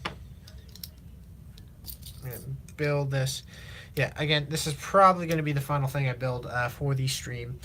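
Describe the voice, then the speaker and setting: A teenage man from Illinois, chatting casually on a live stream while he builds, rambling with lots of fillers, his voice slightly raspy from long talking. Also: mid-range voice, steady tone, fairly bright tone, a little hiss or hum. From Illinois, USA. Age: teens. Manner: rambling, halting, many fillers and restarts. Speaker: livestreamer.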